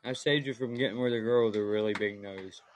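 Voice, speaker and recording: Laid-back low-voiced Southern man. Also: quick pace, a little hiss or hum. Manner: easygoing, joking, folksy. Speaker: podcast host. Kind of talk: casual chat.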